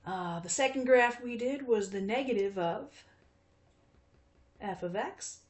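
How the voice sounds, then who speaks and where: A woman's voice: fairly high pitch, lively intonation, takes it slowly. A woman in her fifties teaching math in a recorded video lesson, chatty and friendly, with frequent fillers. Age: 50s.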